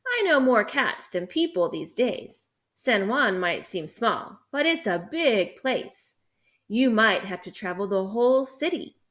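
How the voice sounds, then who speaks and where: A woman's voice: a little high, sing-song, a little slow, fairly bright tone. A woman voicing an old woman who feeds stray cats, speaking in a musing, thoughtful way in a storybook read-aloud.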